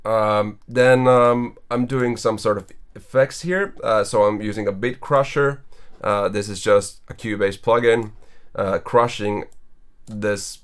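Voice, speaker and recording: A young man with a low voice, casually explaining how he mixed his own vocals, rambling with fillers. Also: fairly even intonation, slow, clean tone. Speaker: singer and mixing engineer. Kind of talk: walkthrough of a vocal mix.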